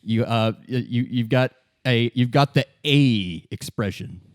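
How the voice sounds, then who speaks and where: A man's voice: mid-range voice, unhurried, no room sound. Dry-recorded male game master narrating. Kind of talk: narrating a tabletop role-play, conversational.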